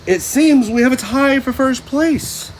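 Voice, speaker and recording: A showy cartoon fish show host, put on by a man reading a storybook. He announces grandly in a raised voice, stretching his words slowly. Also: very high-pitched, slight background noise, pitched above the performer's own voice. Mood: dramatic.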